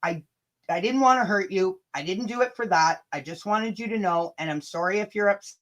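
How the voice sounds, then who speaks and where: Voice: medium pitch, fairly quick, a bit bright. A livestream host chatting casually and reading messages aloud, blunt and sweary, stumbling and rereading as they go.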